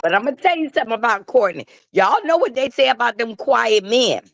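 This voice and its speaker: A woman's voice: on the high side, sing-song, brisk, creaky, no room sound. A woman hosting a casual gossip show, giving opinionated commentary in an emphatic, sassy, colloquial way. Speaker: talk show host. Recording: dull, lo-fi online audio.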